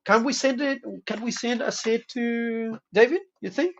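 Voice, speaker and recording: A man from Chile with a Chilean Spanish accent, speaking English as a second language in a halting, friendly casual chat over a video call. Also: very high voice, slight background noise. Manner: halting, searching for words, friendly. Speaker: team supporter and maker. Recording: dull lo-fi call audio.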